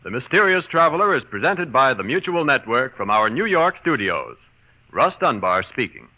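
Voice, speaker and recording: A man's voice: medium pitch, very animated, normal speed. An American man announcing from a script in 1940s network radio style. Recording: dull old network radio recording.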